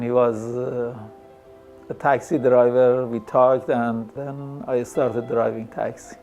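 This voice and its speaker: A middle-aged man from Iran, a taxi driver, talking haltingly with pauses and fillers in a documentary interview. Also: mid-range voice, vocal fry, warm tone.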